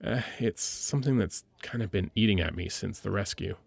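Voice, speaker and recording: Man acting as low, gravelly space-military medic. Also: moderate pace, a little mellow, no room sound. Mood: curious, suspicious. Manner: informal, hesitant, teasing, conversational. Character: caring, teasing male space-military medic lieutenant, playfully gruff. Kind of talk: intimate ASMR audio roleplay acting.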